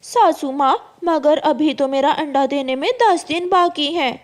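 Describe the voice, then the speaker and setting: A woman's voice: high voice, measured pace, voice pushed up. A woman voicing a meek, mistreated young crow wife in a cartoon story, pleading and plaintive. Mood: timid. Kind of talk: Hindi cartoon story acting.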